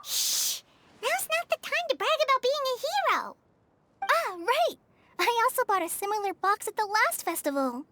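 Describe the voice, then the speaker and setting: Game sidekick: little girl, very high-pitched, animated, chirpy, naive and exclamatory. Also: normal speed, heavily acted. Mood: curious, chatty. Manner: exclamatory, bubbly. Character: small cheerful childlike female companion, chatty and naive. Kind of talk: video game character acting.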